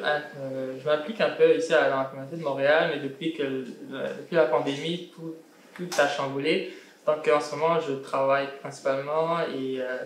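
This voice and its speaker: A man in his twenties from Burundi, a panel guest talking with many pauses and fillers. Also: on the high side, slight background noise. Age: 20s. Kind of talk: casual panel discussion. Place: indoor salon with brick walls. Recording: echoey room.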